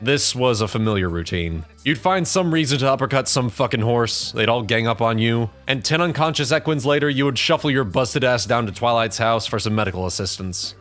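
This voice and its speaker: A man's voice: medium pitch, expressive, fairly quick, few pauses, fairly bright tone, faint music behind. A man narrating a scripted second-person story as the narrator of an audio drama.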